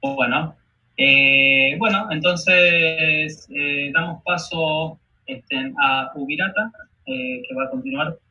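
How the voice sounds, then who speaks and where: A man's voice: fairly high pitch, slow, sharp tone. A man moderating an online panel, handing the floor to the next speaker with hesitant filler words over a video call. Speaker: forum moderator. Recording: muffled video call audio.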